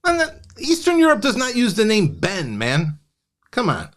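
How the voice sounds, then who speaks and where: Male stream host, lively pitch, mocking and exasperated commentary. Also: normal speed. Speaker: host, live reviewer. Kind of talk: live commentary.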